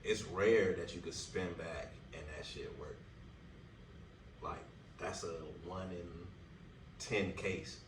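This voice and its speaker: A man around thirty muses in a very deep voice, loose and full of slang and fillers. He sounds set back from the mic in an echoey room. Steady background noise runs under him. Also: slow, pauses often, recorded from a distance. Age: 30s. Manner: reflective, loose slang-heavy talk with many fillers. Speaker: podcast co-host. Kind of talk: casual chat.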